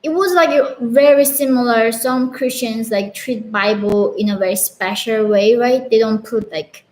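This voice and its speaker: A woman's voice: on the high side, measured pace, a little mellow, low-quality recording. A young woman from North Korea with a Korean accent, casually answering live chat questions as a channel host, earnest and full of "like" fillers. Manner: earnest, frequent "like" fillers, laughs between chat messages.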